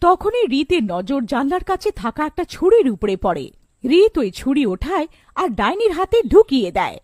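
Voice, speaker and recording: High, lively woman narrating a story. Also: normal speed, dry recording, clean studio sound. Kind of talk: animated scripted storytelling narration.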